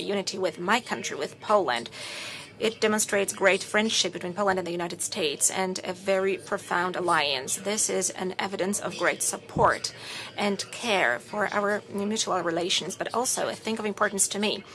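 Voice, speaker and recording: A woman interprets a formal speech into English at a steady, measured pace. Her delivery keeps to stately courtesy phrases. Her voice sits at an ordinary middle pitch.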